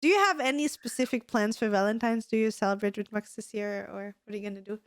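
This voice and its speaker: A woman co-hosting a casual podcast, chatty and a little hoarse, clearing her throat often. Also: medium pitch, fast, no room sound, studio quality. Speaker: podcast co-host.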